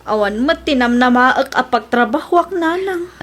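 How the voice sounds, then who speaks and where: A woman's voice: sits high, expressive, moderate pace, a bit bright. A woman doing a plaintive young daughter. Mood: downcast. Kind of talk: radio drama acting.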